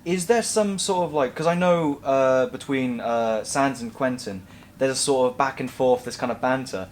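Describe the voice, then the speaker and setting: A man's voice: mid-range voice, moderate pace, a little hiss or hum. A man hosting a casual cast interview, prodding and teasing his guests with repeated questions. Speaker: interview host.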